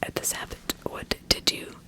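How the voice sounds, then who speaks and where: A woman's voice: normal speed, close to an everyday voice. Woman acting a whispering, insistent witch-trial magistrate. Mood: accusing. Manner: interrogating, insistent, repeating questions. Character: stern, probing magistrate questioning accused witches. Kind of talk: whispered ASMR roleplay.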